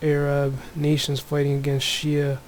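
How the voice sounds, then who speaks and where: A man with a Toronto accent, talking low and soft in a room at home while he reads and comments on news for a religious video. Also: monotone, unhurried, slight background noise. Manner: speaks softly, many restarts and fillers. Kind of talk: casual religious commentary, reading news aloud.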